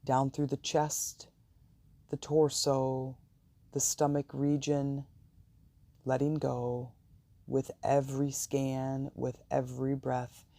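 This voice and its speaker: Slow, very dark gravelly guided meditation voice. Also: mid-range voice, dry recording. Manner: slow, calm, soothing, deliberate pauses.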